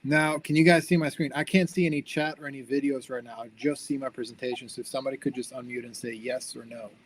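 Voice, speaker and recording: A man's voice: medium pitch, steady tone, quick pace, dry recording. A young man who grew up in America gives a friendly, upbeat seminar talk over a video call, often restarting and repeating words. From born in Sweden, raised in the United States. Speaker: guest speaker, company founder. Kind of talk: online seminar talk with slides.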